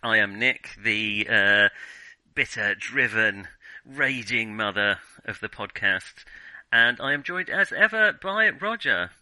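A man's voice: on the low side, animated, slow, pushed and bright, no room sound, low-quality recording. A man hosting a podcast in casual film discussion, talking in a hesitant, self-deprecating way. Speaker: podcast host.

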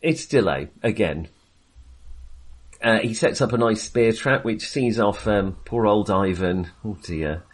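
Guy on a film podcast, lowish voice, rambles a bit, dry humour, lots of hesitating. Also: animated, unhurried. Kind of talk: casual conversational film discussion.

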